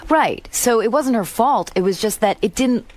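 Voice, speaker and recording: A woman's voice: a little high, moderate pace. A middle-aged woman talking frankly as a songwriter guest on a radio show. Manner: frank, animated storytelling. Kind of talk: radio interview.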